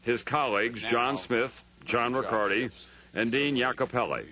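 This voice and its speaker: A man's voice: medium pitch, moderate pace, low-quality recording. A man with an American accent reading scripted news documentary narration.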